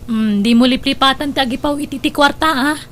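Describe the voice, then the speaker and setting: A woman's voice: high voice, fast, slight background noise, natural voice. A woman playing a discontented, worried young wife in an audio drama, with an Ilocano Filipino accent. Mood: demanding.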